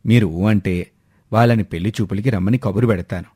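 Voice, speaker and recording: A man playing a wise, polite village elder in a dramatised storybook tale, speaking in a measured way. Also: lowish voice, mellow, breathy edge, dry recording, studio quality. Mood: persuasive, courteous. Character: courteous, wise village elder, male, calm matchmaker.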